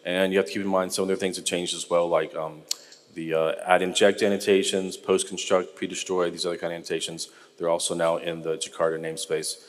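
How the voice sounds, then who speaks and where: Middle-aged American man, low register, easygoing conference speaker, big-room reverb. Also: fast. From United States. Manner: relaxed, conversational, frequent ums, polls the audience. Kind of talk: technical conference talk. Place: large conference hall.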